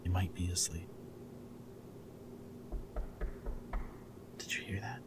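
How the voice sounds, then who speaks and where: A man's voice: deep voice, quick pace, close to an everyday voice. A man playing a goofy, flirty, protective rebel captive in a dystopian roleplay audio drama, with a wry, intimate way of talking. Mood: urgent, angry. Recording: background music, loud ambient noise in places.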